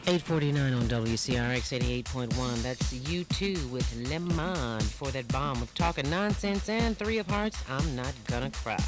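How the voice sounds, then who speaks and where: A woman's voice: a bass voice, normal speed, hardly any pauses. An American woman working as a radio DJ, chatting playfully and teasing herself between songs and updates in music radio patter.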